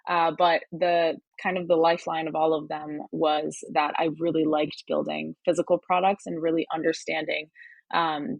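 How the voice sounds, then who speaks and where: A young woman with an American accent, originally from Turkey, chatting as an interview guest. Her voice sits on the low side, and she talks in loose, looping sentences full of ums and restarts. The sound is close and dry. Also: vocal fry, husky, no room sound. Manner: chatty, many fillers and restarts. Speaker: podcast guest, hardware startup advisor. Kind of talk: casual interview conversation.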